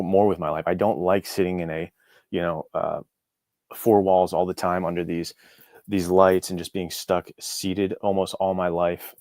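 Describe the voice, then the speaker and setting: A man's voice: low voice, a little mellow, no echo, clean studio sound. A young American man talking as a podcast guest in a reflective, informal, loosely phrased way. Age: young adult. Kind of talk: casual interview chat.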